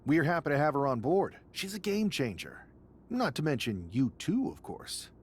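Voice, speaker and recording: A man's voice: on the high side, huge pitch swings, moderate pace, slight background noise. A man playing an upbeat, bantering wrestler who recruits allies in a video game cutscene. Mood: playful.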